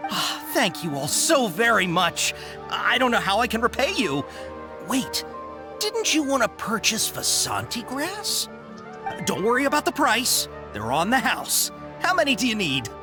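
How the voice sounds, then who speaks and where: An earnest generous game merchant, effusive, pitch all over, music behind. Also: exaggerated voice. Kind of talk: video game voice acting.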